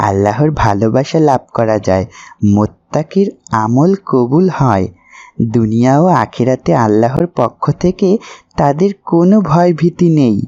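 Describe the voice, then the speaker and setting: A man's voice: medium pitch, warm tone, natural voice. Man playing an earnest, muffled-sounding pious youth. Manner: earnest, didactic. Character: earnest, pious young man admonishing an elder. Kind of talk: cartoon voice acting, moral preaching.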